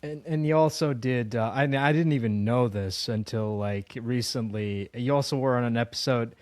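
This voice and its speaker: A man's voice: medium pitch, moderate pace, a little mellow, dry recording. A man hosting a casual interview in an enthusiastic, conversational way. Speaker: interviewer, host.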